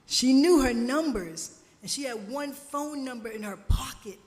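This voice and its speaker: A woman preaching a sermon at a church service, slow and emphatic, drawing responses from the congregation, her family from Jamaica. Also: sits high, a little mellow. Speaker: guest speaker at a church service. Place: church sanctuary. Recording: church hall reverb.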